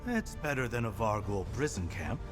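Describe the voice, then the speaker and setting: An actor playing a good-natured, earnest armourer and loyal war comrade in a fantasy game. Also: male voice, on the low side, sing-song, moderate pace. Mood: grateful. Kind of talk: cinematic fantasy game acting. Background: music.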